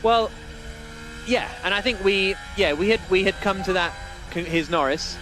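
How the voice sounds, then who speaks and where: A man's voice: sits high, normal speed, noisy background. A man hosting live motorsport radio commentary with casual, jokey banter, often correcting himself.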